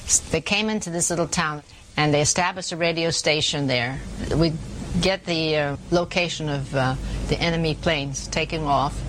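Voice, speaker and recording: An older woman reminisces in an interview with a notably low-pitched voice. She tells it plainly and haltingly, over faint background noise. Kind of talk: interview recollection.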